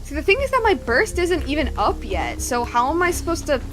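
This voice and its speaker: A woman's voice: high voice, very animated, fairly quick, a bit bright, faint music behind. A woman streamer giving casual gameplay commentary, thinking aloud in repeated questions. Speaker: game streamer.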